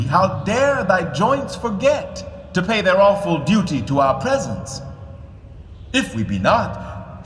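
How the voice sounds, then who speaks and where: Embattled righteous monarch, radio verse drama. Highish, widely varied voice, formal declamation, echoey space. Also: male voice, a bit bright, exaggerated voice. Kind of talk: Shakespearean radio drama acting. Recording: echoey hall reverb, faint noise.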